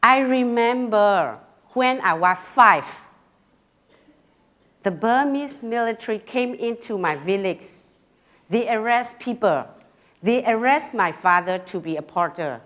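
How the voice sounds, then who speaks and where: Slow careful karen-accented lady, bit nervous, halting with lots of pauses and uhs. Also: medium pitch, animated, a bit bright. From Burma. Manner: halting, simple phrasing with pauses and fillers. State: a little nervous. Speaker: speaker at a talk. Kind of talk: prepared personal talk.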